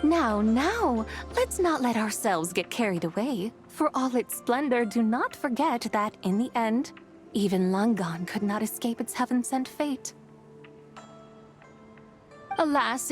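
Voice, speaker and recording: High-voiced, sly ancient horse spirit. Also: female voice. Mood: sly, teasing. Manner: archaic formal phrasing, teasing and theatrical. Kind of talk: scripted video game dialogue.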